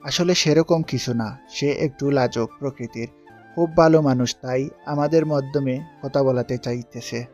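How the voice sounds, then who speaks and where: A man playing a smooth, self-assured schoolboy charmer in an audio love story. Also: medium pitch, normal speed, darkish tone, muffled recording, faint music behind, natural voice. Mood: polite, persuasive.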